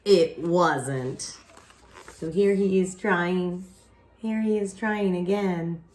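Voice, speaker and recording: A woman reading a picture book aloud expressively, with dramatic pauses and casual asides to young listeners. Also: lowish voice, a little mellow, slight background noise. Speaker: children's picture book reader.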